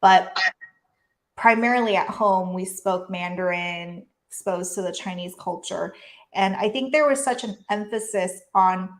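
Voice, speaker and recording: A woman's voice: mid-range voice, measured pace. A woman who grew up in Taiwan, a physician and coach, talking earnestly and reflectively as an interview guest. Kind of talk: conversational interview. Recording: roomy echo.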